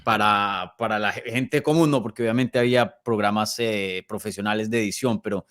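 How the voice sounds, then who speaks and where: A man in his early thirties with a Colombian accent, talking casually as an interview guest with hesitant, pausing phrasing. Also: on the low side, a bit bright, clear, resonant tone, no room sound, studio quality. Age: early 30s. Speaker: podcast guest, MMA journalist.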